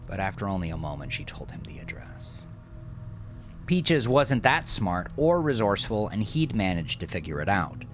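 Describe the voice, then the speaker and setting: Low, gravelly male storyteller. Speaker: narrator. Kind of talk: dramatic scripted fiction narration. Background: music.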